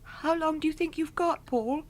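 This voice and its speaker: An actress playing a flippant but affectionate wife in a radio drama, with a playful, expressive manner. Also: female voice, high voice, moderate pace, lightly acted. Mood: anxious, sad. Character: flippant, teasing, affectionate wife at a card table.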